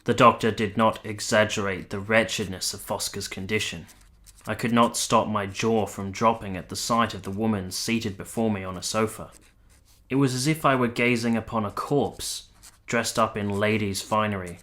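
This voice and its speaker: A man's voice: on the low side, steady tone, normal speed, fairly bright tone, close to an everyday voice. A man playing an earnest, reserved army captain in a period radio drama, speaking formally and reflectively. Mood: somber, reflective. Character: earnest, reserved young army captain narrating his memories. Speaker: narrator and lead character.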